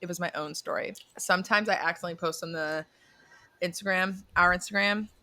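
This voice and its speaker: A woman's voice: fairly low pitch, steady tone, fairly quick, pushed and bright. A young woman co-hosting a podcast, chatting casually and animatedly, laughing often and swearing now and then. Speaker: podcast co-host. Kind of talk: casual chat.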